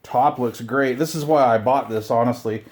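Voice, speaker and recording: A man's voice: mid-range voice, moderate pace, a little mellow. Middle-aged male host for casual unboxing videos; mid-range voice, rambling, chatty delivery with self-deprecating grumbles. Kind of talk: casual unboxing chat to camera.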